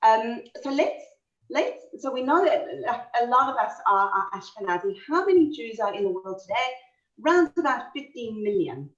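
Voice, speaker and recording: A woman's voice: on the high side, sing-song, normal speed, reverberant. A woman with a South African accent talks through a history lecture over a video call. She is chatty and keeps restarting, with plenty of fillers. Her voice comes through dull and slightly distant. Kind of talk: online history talk. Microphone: computer mic on a video call.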